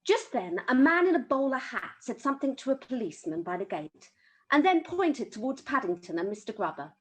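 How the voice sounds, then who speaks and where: A woman's voice: high voice, big pitch swings, fairly quick, low-quality recording. A woman reading a children's story aloud as an amateur storyteller.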